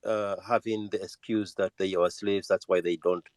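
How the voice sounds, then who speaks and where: A man's voice: deep voice, steady tone, normal speed, a little mellow, no echo. A man calling into a live talk room, speaking bluntly and cutting in to argue his point. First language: not English. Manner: interrupting, blunt, argumentative. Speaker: caller. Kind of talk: live audio chat room debate.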